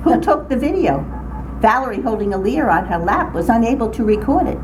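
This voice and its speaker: Older woman storyteller, higher voice, noisy room. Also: big pitch swings, a little fast. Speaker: writer reading her own account to a writing group. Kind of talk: reading a humorous personal essay aloud. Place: indoor group meeting room. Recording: noisy room recording, background ambience.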